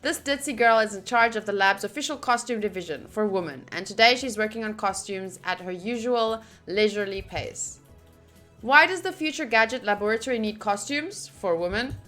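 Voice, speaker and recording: A woman streamer reading a visual novel's narration aloud during a playthrough, with expressive, gushing asides. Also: mid-range voice, moderate pace, soft background music. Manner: expressive, gushing asides between read passages.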